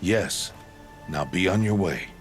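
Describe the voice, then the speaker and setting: A stern outcast mentor in a game, his very low voice deep and grave. He speaks in solemn, terse lines over soft music. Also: lively intonation, slow. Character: gruff middle-aged male outcast mentor, stern. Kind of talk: video game cutscene acting.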